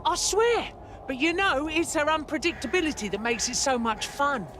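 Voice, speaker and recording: A woman playing an excitable fight promoter in a game, talking someone into a bout. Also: sits high, normal speed, a little hiss or hum. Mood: excited hype. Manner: hyping, persuasive. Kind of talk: game cutscene dialogue.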